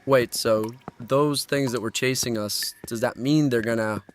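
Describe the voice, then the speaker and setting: A man's voice: medium pitch, a little slow, lightly acted. A man playing a naive, earnest and anxious young man in a horror audio drama, hesitant and easily shaken. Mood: uneasy, subdued. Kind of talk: horror audio drama acting with first-person narration. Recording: clearly noisy background.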